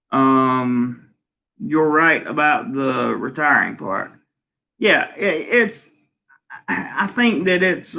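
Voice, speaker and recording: Male stream host, bright and forceful, loose and rambling as he answers comments. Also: medium pitch. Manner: rambling, lots of ums and restarts. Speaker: channel host. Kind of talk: casual livestream, reading viewer comments. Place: home in Thailand.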